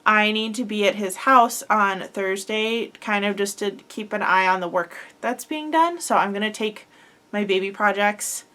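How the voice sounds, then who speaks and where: A woman's voice: mid-range voice, pushed and bright. A young woman hosting a crochet podcast, talking casually and rambling with lots of fillers, her voice a little congested from a head cold. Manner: chatty, rambling, filler-heavy with frequent likes and ums. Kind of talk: casual chat.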